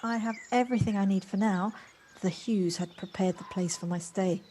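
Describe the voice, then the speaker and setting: A woman playing a reserved city newcomer in an audio drama, speaking formally and haltingly. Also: mid-range voice, steady tone, mellow, lightly acted. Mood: polite, guarded. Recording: noisy home recording on personal device. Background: birdsong.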